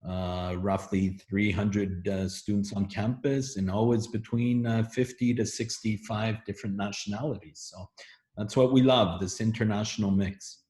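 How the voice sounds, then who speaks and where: A middle-aged man who grew up in Montreal, speaking on a video call in a relaxed, upbeat way. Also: fairly low pitch, normal speed. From Montreal, Canada. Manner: relaxed and upbeat, with some fillers. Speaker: program manager. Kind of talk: casual webinar conversation. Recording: roomy echo on a video call.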